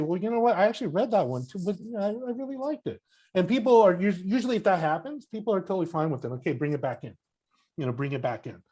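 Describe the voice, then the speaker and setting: A man talks casually as a podcast guest, a book critic working through his thoughts out loud. He wanders and restarts his sentences, and he leans on filler phrases as he goes. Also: fairly high pitch. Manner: rambling, full of "you know" and restarts.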